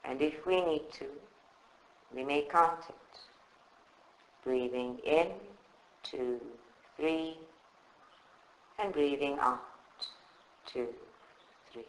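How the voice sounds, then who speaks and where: A man leading a guided meditation in a calm, soothing, measured way with long pauses. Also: on the high side. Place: indoor room at a group meditation session. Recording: roomy, echoing live recording.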